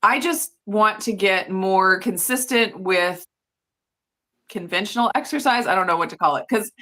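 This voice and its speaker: A woman's voice: medium pitch, moderate pace, sharp tone. A woman in her thirties chatting casually as co-host, talking loosely with plenty of fillers and frequent laughs. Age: 30s. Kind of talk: casual chat.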